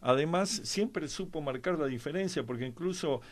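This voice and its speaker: A man's voice: fairly high pitch, normal speed. An Argentine man discussing history conversationally as a panelist, digressing and adding tag questions.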